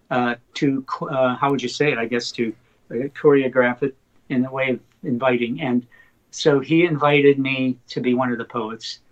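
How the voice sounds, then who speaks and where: An older man whose first language is Slovak recounts stories as an interview guest. His voice sits in a middle pitch, and he tells anecdotes with animated, excited retellings. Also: rough recording. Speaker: poet guest. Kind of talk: interview storytelling.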